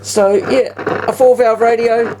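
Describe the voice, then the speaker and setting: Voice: medium pitch, fairly even intonation, measured pace. A hobbyist with a New Zealand accent talks casually while demonstrating equipment, rambling and thinking aloud. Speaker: vintage radio hobbyist and presenter. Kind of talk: casual hands-on demonstration commentary. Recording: clearly noisy amateur home recording.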